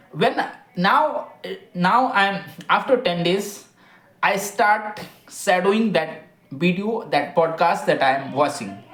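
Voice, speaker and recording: A woman's voice: lowish voice, echoey room. A woman with an Indian English accent and Hindi as her first language, talking casually to camera with many restarts and small grammar slips. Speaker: vlogger.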